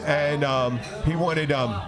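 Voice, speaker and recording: A man hosting a podcast, telling comic anecdotes casually in a rambling, halting way. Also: on the high side, steady tone, music in the background. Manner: halting, rambling, with repeated starts. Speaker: podcast host.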